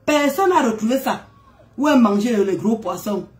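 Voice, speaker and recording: A woman's voice: a little high, animated, normal speed, darkish tone. A woman with a head cold, chatting casually on a live stream, greeting viewers, teasing and exclaiming with frequent laughs.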